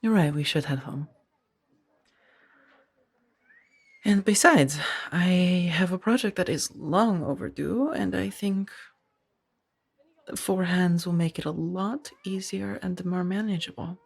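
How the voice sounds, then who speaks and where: A woman playing a gentle, earnest Jedi in a soft-spoken ASMR roleplay, speaking in a hesitant, breathy murmur. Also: on the low side, unhurried, full character voice. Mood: teasing, warm. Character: gentle, earnest young female Jedi, tenderly conflicted.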